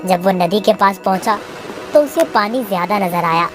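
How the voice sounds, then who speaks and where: A woman's voice: mid-range voice, expressive, normal speed. A woman reading a scripted moral tale for children as the storyteller. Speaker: narrator. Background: music.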